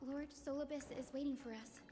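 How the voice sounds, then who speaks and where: A woman voicing an earnest, gentle young heroine in a video game, speaking politely and formally with resolve. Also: sits high, fairly even intonation, fairly quick, noisy background, natural voice. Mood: curious. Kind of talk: dramatic video game voice acting. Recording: echoing game audio with music.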